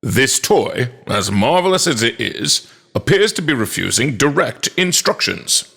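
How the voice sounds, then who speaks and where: Man as a cold, gravelly police inspector. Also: medium pitch, very animated, unhurried, fairly bright tone, pitched above the performer's own voice, full character voice. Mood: insistent. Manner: officious, formal. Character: male police inspector, cold, menacing, officious father.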